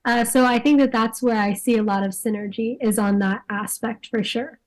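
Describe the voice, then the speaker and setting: A young woman speaking as a youth climate activist on a panel, her voice hoarse and a little raspy. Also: fairly high pitch, fairly even intonation, normal speed. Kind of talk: online panel discussion.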